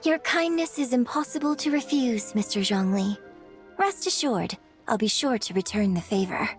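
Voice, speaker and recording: A woman's voice: on the high side, lively intonation, moderate pace, soft background music, close to an everyday voice. A woman voicing a refined, courteous young artist in a video game, polite, graceful and articulate. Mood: gracious. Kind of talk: scripted video game dialogue acting.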